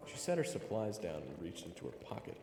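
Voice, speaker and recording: Snarky young male hero, played by a man, jumpy and extremely animated, reverberant sound with music behind. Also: low-pitched, fairly quick. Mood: narrating. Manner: expressive, sarcastic, jumpy. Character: excitable, snarky young male adventurer narrating his story. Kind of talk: first-person narration and audio roleplay acting.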